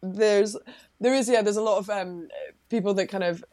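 A woman's voice: mid-range voice, moderate pace, dark tone, no echo, studio quality. A woman being interviewed as a guest, talking casually in a rambling way, full of fillers and restarts. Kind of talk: casual chat.

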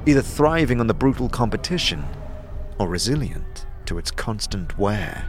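A man narrating an atmospheric scripted fantasy story in a measured, dramatic manner. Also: medium pitch, very animated, soft background music.

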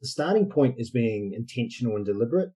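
A man with a New Zealand accent talks through his ideas in a podcast interview, pausing often on fillers as he builds each point. His voice is very dark and mellow, with a husky, slightly breathy edge. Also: mid-range voice, expressive. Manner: thoughtful, explanatory, many fillers. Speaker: guest, basketball coach and social entrepreneur.

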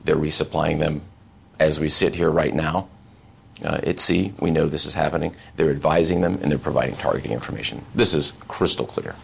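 Male US military official, extremely low and husky, firm official phrasing in formal interview answers. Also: fairly quick. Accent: American. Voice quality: gravelly.

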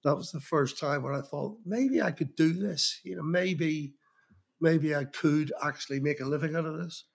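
A man's voice: fairly high pitch, moderate pace, gravelly, breathy edge. A man from Belfast with a Belfast accent, in his fifties, recalling his start in music journalism in a rambling, anecdotal interview manner with many restarts. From Northern Ireland. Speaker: guest, magazine journalist. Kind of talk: reflective interview talk.

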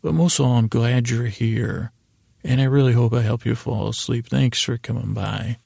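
Very creaky, lulling American man. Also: mid-range voice, warm tone. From United States. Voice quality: very creaky, gravelly. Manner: meandering, halting, with stammered restarts; lulling, soothing delivery. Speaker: podcast host. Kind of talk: rambling bedtime-story podcast intro.

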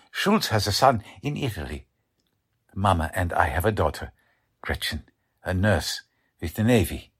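Man acting a low, husky old-country papa. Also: exaggerated voice. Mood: sad, resigned. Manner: halting, gentle, repeats words. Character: humble, kindly immigrant baker and anxious father. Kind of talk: old-time radio drama acting.

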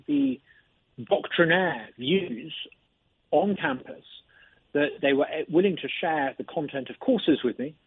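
A man being interviewed as a lawmaker, speaking in a measured way and often repeating words as he begins a sentence, heard over a phone line. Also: a little high, slightly rough, dry recording. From not from Mississippi. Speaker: interview guest, state lawmaker. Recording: tinny phone line, dry.

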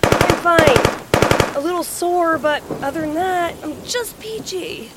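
A woman's voice: very high-pitched, animated, slow pace, full character voice. A woman playing a tough, wounded, sarcastic survivor in an audio drama, with a dry manner. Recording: very noisy, gunfire and rain behind.

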